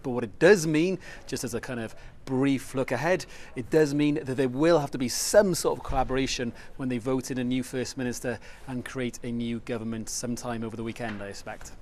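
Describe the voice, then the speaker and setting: A man reporting live as a political correspondent, explaining the polls in a measured way. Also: medium pitch, a little mellow.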